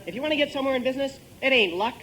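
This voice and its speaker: Sly, scrappy kid, high voice, sarcastic edge, faintly noisy old radio sitcom. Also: male voice, lively intonation, very fast, bright, forceful tone, full character voice. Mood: mocking. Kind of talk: 1950s radio comedy acting. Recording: vintage radio recording with faint noise.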